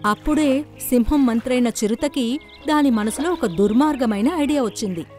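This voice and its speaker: A woman's voice: a little high, moderate pace, a little mellow, faint music behind. A woman reading scripted narration for a children's story.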